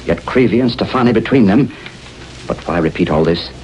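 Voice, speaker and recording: A man's voice: deep voice, lively intonation, brisk, a little mellow, muffled recording, a little hiss or hum. A man acting a proud, cornered judge in an old-time radio drama, formal, strained and suspicious. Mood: bitter, cornered.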